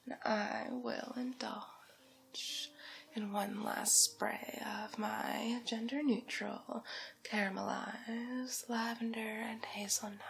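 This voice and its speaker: A vain, wealthy villainess, acted by a woman for an ASMR roleplay, whispers with lofty condescension. Her voice is breathy and fairly high, with heavy creak, and she draws out her words slowly and theatrically. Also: a little hiss or hum. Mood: smug, patronizing. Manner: slow, drawn-out, theatrical, patronizing. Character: haughty, vain, condescending wealthy villainess, fur-loving fashion snob.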